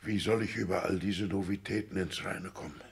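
A man's voice: low voice, brisk, vocal fry. An actor playing a bewildered, drily grumbling petty-bourgeois father of sixty in a German radio play. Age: 60s.

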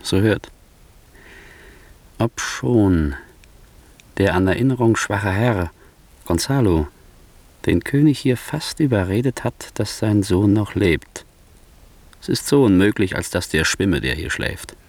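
A man's voice: fairly low pitch, expressive, slow, long pauses, a little mellow, no room sound, exaggerated voice. An actor playing a scheming, ambitious nobleman in a German radio verse drama, speaking in a theatrical, insinuating, rhetorical manner. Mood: urgent, persuasive. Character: scheming ambitious nobleman, smooth-tongued, ruthless tempter.

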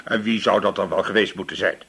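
A shifty male suspect in a radio play. His voice is fairly deep and rasps a little, and he talks evasively, protesting his innocence with wounded indignation. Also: steady tone, fast talker, a bit bright, exaggerated voice. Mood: indignant. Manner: evasive, protesting, smooth-talking. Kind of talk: Dutch radio play dialogue.

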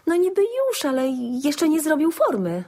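An actress playing a naive, giggly young provincial bride-to-be in a period comedy radio play, artless and chirpy in manner. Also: female voice, high-pitched, wildly swooping pitch, moderate pace, warm tone. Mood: artless, matter-of-fact.

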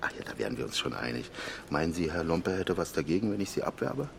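An actor playing a middle-aged conservative politician in a radio drama, reserved and courteous, speaking in formal, hesitant phrases. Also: male voice, deep voice, very animated, fast talker, creaky, noisy background, exaggerated voice. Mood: courteous, probing. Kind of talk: naturalistic radio drama dialogue.

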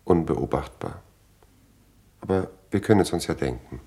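A man's voice: rumbling low, expressive, moderate pace, creaky, warm tone, heavily acted. An actor playing a discreet, servile male aide to a minister in a 1950s radio drama, formal and subdued. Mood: deferential. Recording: noisy old radio recording.

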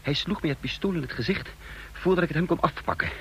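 A man's voice: a little high, quick pace, fairly bright tone, slight background noise. An actor playing a tense, wounded secret agent who narrates his own mission in a radio drama. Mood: tense, dramatic. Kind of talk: radio drama acting and first-person narration.

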